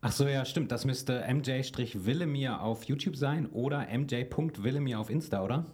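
A man's voice: medium pitch, normal speed, darkish tone, husky, no room sound. Man podcasting in a casual tone. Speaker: podcast host. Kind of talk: casual conversational delivery.

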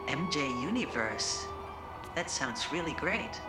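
A man playing a gentle, friendly male American pop superstar in an audio drama. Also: fairly high pitch, sing-song, slow, echoey room, loud music behind the voice. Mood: gentle, enthusiastic. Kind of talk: audio drama impression, speaking English.

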